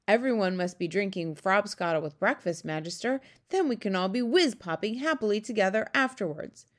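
A woman voicing a big, friendly, childlike male giant who exclaims excitedly in garbled grammar. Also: mid-range voice, fairly quick, natural voice. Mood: gleeful. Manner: garbled ungrammatical speech, exclamatory.

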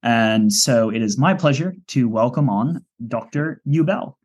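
A man hosts an interview podcast with a mid-pitched, everyday voice. He reads his introductions brightly but stumbles and restarts now and then. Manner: upbeat introductions with halting restarts. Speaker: podcast host.

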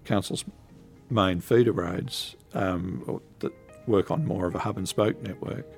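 A man, a council director, answering interview questions in a measured way with frequent ums. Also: deep voice, vocal fry, soft background music. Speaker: council technical services director.

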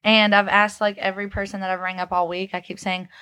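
Female podcast co-host, medium pitch, casual and self-deprecating, giggly, dry studio-like sound. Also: little pitch movement, fast talker, bright, forceful tone, clear, resonant tone, no room sound. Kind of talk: casual comic chat.

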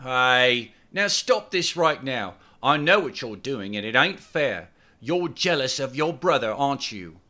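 A man voicing an excitable, bossy young woman who scolds and coaxes her boyfriend in an audiobook. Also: medium pitch, measured pace, voice pushed up. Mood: coaxing. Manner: exclaiming, nagging, slangy.

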